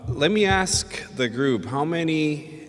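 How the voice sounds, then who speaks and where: A man from the Dominican Republic giving a conference presentation, speaking in a measured, hesitant way with many filler sounds. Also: on the high side, animated. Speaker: invited conference speaker, emergency physician. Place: hotel ballroom at a summit. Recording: long reverb of a large ballroom.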